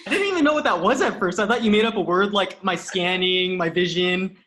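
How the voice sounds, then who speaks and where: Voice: mid-range voice, a little fast, a bit bright. An actor talking casually in a cast discussion over a video call from home. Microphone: video call microphone.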